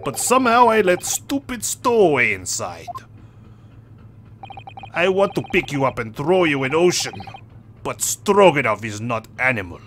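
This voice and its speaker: A man doing a big proud sailor with a heavy mock Russian accent, speaking in blunt broken English and looking down on everyone. His pitch swings widely, and a steady noisy background sits under the voice. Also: a little slow. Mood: gruff haughty. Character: gruff burly Russian sailor, proud and rude.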